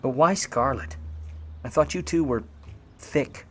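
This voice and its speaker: A man's voice: medium pitch, measured pace, lightly acted. A man playing a hard-boiled, wry private eye. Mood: probing. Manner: slangy, sardonic, clipped tough-guy delivery. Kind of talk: old-time noir radio play acting and narration.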